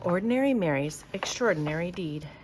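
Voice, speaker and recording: A woman reading a children's picture book aloud like a teacher, with expressive, emphatic delivery for young listeners. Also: lowish voice, a little fast, noisy background.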